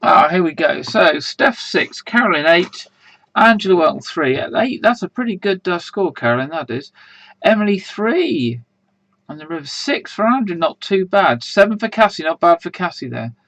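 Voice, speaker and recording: A man hosting an online quiz in a casual, rambling way, full of fillers, with a slightly croaky voice. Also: sits high, sing-song, moderate pace, fairly bright tone, no echo, poor audio. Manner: chatty, rambling, many fillers and restarts. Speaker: quiz host.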